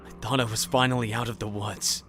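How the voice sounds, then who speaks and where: An actor voices a bitter, guarded man surviving in an audio drama. The delivery is terse, deadpan and sardonic, in a medium-pitched male register. Music plays underneath. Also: a little fast, close to an everyday voice. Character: bitter, guarded, foul-mouthed male survivor, former addict.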